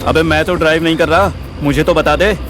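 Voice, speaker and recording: A man's voice: on the high side, steady tone, a little fast, background noise, close to an everyday voice. A man playing a hot-headed, easily affronted young sidekick in an audio drama, slangy and complaining, with an Indian accent. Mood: puzzled, nagging. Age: young adult.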